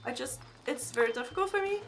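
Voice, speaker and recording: A woman's voice: high voice, animated, quick pace. A woman streaming a game live, chatting casually with viewers and reading their messages aloud, with frequent fillers and restarts; English is not her first language. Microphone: desk microphone.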